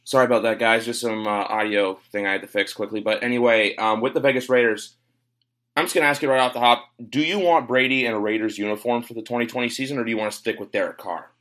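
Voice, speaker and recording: A man's voice: mid-range voice, steady tone, quick pace, fairly bright tone. A man hosting a casual sports podcast, talking with a cold and rambling through lots of fillers. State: has a cold. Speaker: podcast host. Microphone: home recording, guest on internet call.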